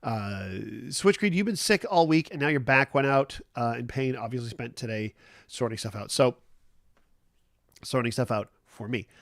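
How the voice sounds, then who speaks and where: A man's voice: mid-range voice, normal speed, dry recording, studio quality. A man hosting a casual morning livestream chat, stuffed up and nasal from a cold, rambling easily with lots of fillers and restarts. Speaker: livestream host.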